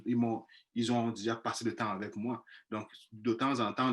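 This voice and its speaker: A man, a missionary priest not speaking his first language, telling of his experience in a webinar on a video call, hesitant with many restarts. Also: fairly low pitch. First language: not French; speaks five languages. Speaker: missionary priest, panel guest. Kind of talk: personal testimony in a webinar.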